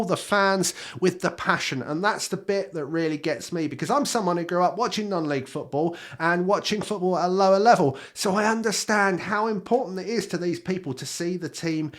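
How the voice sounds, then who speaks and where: A British man in his thirties, slightly hoarse from a cold, chatting directly to viewers in a casual TV review. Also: high voice, a little fast, hardly any pauses, a bit bright. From Britain.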